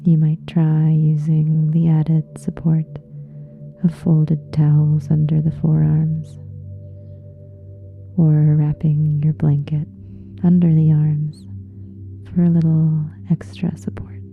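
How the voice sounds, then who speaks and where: A woman leading a guided relaxation meditation in a soft, breathy, hushed and soothing way, leaving long pauses. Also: low voice, completely flat delivery, phone-quality sound. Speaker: yoga nidra meditation guide. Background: soft meditation music with singing bowls.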